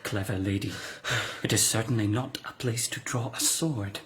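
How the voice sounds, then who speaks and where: An actor in a radio drama playing a sly, unruffled retired emperor turned monk, suave and drily needling. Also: male voice, fairly low pitch, fairly even intonation, moderate pace, close to an everyday voice. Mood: taunting.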